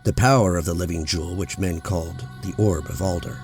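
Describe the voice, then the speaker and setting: A man narrating a fantasy audiobook in a measured, solemn storytelling manner. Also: low-pitched. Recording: loud music bed under voice.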